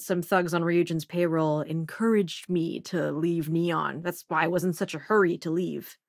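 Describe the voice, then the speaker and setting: A woman's voice: on the low side, no room sound, studio quality. A woman voicing a sardonic, self-assured young female crewmate and researcher in a video game, with a casual, wry delivery.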